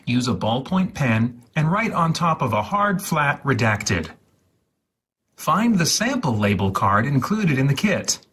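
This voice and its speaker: Husky man reading instructions very slowly. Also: a little high, a bit bright. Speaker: host. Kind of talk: deliberate dramatic reading of an instruction leaflet.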